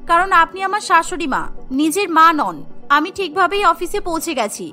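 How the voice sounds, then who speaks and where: A woman's voice: extremely high pitch, very animated, fairly quick, bright, forceful tone, soft background music, full character voice. A woman voicing an irritable, ungrateful young daughter-in-law in a cartoon story, curt and scolding. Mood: annoyed.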